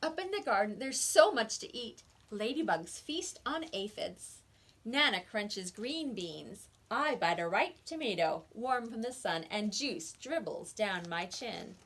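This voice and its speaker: A woman reading picture books aloud to young children like a teacher, with expressive emphasis on the words. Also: mid-range voice, slight background noise.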